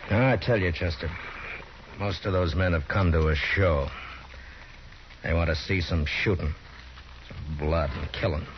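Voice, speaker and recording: An actor playing a calm, stern frontier marshal who talks in a steady, measured way, in a 1950s radio drama. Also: male voice, low voice, slight background noise. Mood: firm. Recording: lo-fi 1950s radio broadcast with music.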